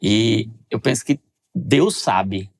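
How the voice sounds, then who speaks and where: A man's voice: fairly low pitch, expressive. A man hosting a faith podcast interview, speaking reflectively with many restarts. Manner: reflective, speeds up and slows down, frequent restarts. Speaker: podcast host.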